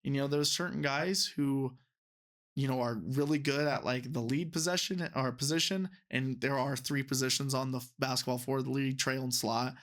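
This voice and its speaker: A man's voice: mid-range voice, steady tone, moderate pace, a bit bright. Chatty young man, full of fillers. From United States. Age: 20s. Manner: chatty, rambling, many "you know" fillers. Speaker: referee and teacher, guest. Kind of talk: casual interview talk.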